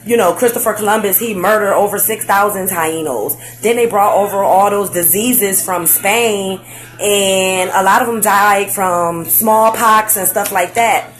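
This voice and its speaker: A young woman, chatting excitedly on a live stream, full of slang and "like"s and "um"s. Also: mid-range voice, unhurried, faint music behind. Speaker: vlogger. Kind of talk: casual facebook live chat.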